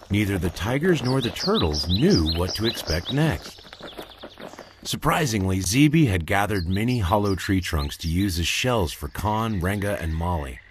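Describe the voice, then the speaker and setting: A man narrates a children's story in a low, animated voice. It is a scripted storybook read, with chirping crickets and insects clearly audible behind him. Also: noisy background.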